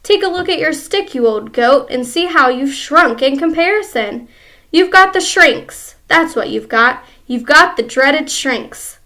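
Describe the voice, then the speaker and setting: A woman's voice: sits high, expressive, unhurried, sharp tone, full character voice. A woman voicing a nasty, gloating old man who jeers at and taunts his wife. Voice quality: jeering, taunting.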